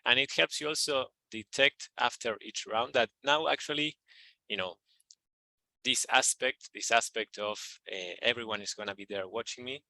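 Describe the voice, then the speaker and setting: A man teaching a tapping technique in a calm, repetitive guided manner, with fillers as he explains. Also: medium pitch, normal speed, no room sound, low-quality recording. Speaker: EFT practitioner teaching tapping. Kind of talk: instructional video walkthrough.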